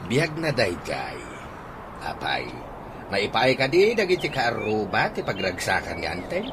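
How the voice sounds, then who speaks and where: A man's voice: on the low side, huge pitch swings, measured pace. A man playing an easygoing older father and big brother in an Ilocano radio comedy drama, speaking expressively. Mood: dismissive. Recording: radio drama with clear background noise.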